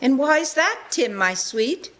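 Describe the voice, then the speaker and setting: A woman's voice: high voice, very animated, measured pace, bright, forceful tone, exaggerated voice. A woman playing a busy, loving, outspoken Victorian mother in a live radio play. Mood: fond.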